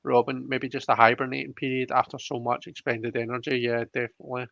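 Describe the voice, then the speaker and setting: A man's voice: medium pitch, flat delivery, fast talker, gravelly, fairly bright tone, no echo. A man chatting casually as the host of a live tarot reading, over a slightly muffled online call. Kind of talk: casual chat, reading viewer comments aloud.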